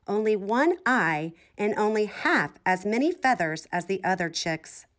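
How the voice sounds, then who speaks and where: A woman reading a children's storybook aloud in an expressive style. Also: medium pitch. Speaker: storybook reader.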